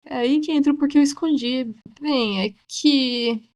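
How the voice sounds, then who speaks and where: A woman voicing a naive, defensive young male ship's cleaner in an animated short, pleading and aggrieved as he explains himself. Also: high-pitched, measured pace, dull audio.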